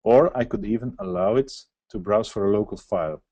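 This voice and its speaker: Man for a webinar software walkthrough; explanatory, mellow tone, dry close sound. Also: fairly low pitch, brisk, no echo, dull audio. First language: Dutch. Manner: explanatory, walks through on-screen steps. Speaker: software presenter. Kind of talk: live software demo webinar.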